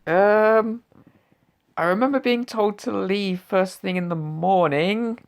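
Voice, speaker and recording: A woman's voice: mid-range voice, slow pace. A woman voicing the ghost of a murdered, cheeky male writer who makes sarcastic asides in an amateur audio drama. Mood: sheepish.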